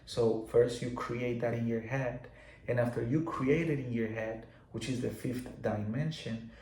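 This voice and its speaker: Man with a gravelly voice. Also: mid-range voice, fairly even intonation, a little slow, mellow, echoey room. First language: Spanish. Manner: loose and repetitive, many fillers and restarts. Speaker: teacher of spiritual laws. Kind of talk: casual explanatory talk.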